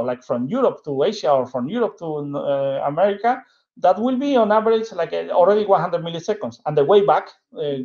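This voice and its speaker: A man in his forties from southern Spain with a Spanish accent, giving an informal technical presentation in a halting way with frequent checking tags. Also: on the high side, a little mellow. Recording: dull, lo-fi webcam audio.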